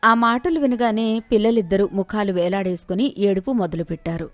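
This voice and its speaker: A woman's voice: medium pitch, fairly quick, no room sound, soft background music. A woman narrator reading a scripted moral tale in storytelling style.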